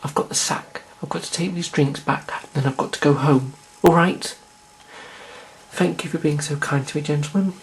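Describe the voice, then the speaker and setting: A performer voicing a soft-spoken, timid young woman of twenty. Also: mid-range voice, moderate pace, natural voice, low-quality recording. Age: 20s.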